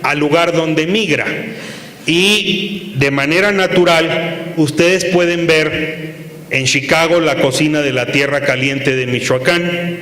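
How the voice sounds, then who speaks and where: A man giving a talk at a conference, unhurried, with frequent hesitations and fillers. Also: a little high, bright, forceful tone. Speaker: conference speaker. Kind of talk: presentation to an audience. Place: conference hall. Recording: echoey hall, long reverb.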